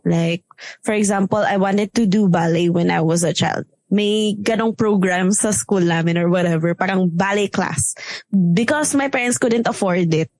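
A woman with a Filipino accent and a loud, strong voice, chatting casually and mixing Tagalog with English, with many fillers. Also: medium pitch, moderate pace, dry recording. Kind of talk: casual chat.

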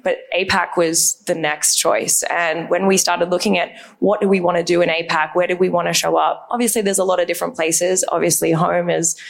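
A woman's voice: on the low side, flat delivery, a little fast, gravelly, hardly any pauses. Upbeat woman with an Australian accent. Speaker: brand communications head. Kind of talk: panel talk.

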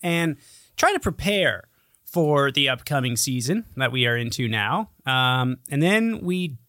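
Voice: mid-range voice, expressive, a little slow, pushed and bright, dry recording. Teasing, sweary podcast co-host, close and dry. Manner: teasing, sarcastic, swears freely. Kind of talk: casual, profane podcast banter.

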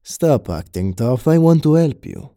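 A menacing male gangster played by an actor in a crime audio drama. His tone is deep-shaded and velvety, with very animated pitch. He taunts and persuades, dropping in Spanish words, recorded close and dry. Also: unhurried, dry recording.

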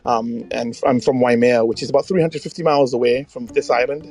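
A middle-aged man from Hawaii, chatting casually as a podcast host in hesitant, halting phrases. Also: medium pitch, fairly even intonation, a little mellow, faint music behind. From Hawaii, United States.

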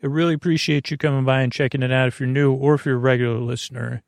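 A middle-aged man with a gravelly, creaky voice at an ordinary pitch, wandering from thought to thought in a slow, goofy bedtime ramble. He interrupts himself and drifts off topic. The sound is dry and close, with no room around it. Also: level tone, no echo. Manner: meandering, self-interrupting, goofy asides. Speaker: sleep podcast host.

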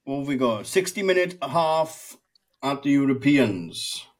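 A man's voice: medium pitch, expressive, unhurried. A man co-hosting a podcast, putting casual questions to a guest in a chatty interview.

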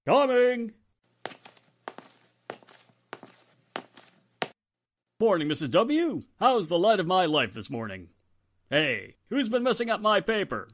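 A man's voice: high voice, very animated, moderate pace. Guy playing a jovial small town husband, teasing and blustery, super swoopy delivery, old-time radio play. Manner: jocular, exclamatory. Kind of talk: radio drama acting.

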